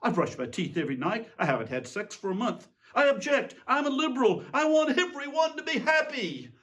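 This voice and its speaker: A man's voice: extremely high pitch, sing-song, brisk, a bit bright, voice pushed up, heavily acted. A man playing a weary, self-pitying tyrant king in a theatrical monologue, fussy, pompous and plaintive. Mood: petulant, indignant. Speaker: reader giving a dramatic reading. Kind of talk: theatrical dramatic monologue reading.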